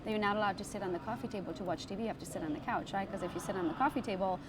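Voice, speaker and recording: A woman's voice: mid-range voice, racing. Chatty woman in her 40s. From Transylvania, Romania. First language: Hungarian. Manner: chatty storyteller, often adds "right?". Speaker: podcast guest. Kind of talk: casual interview chat. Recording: loud background noise.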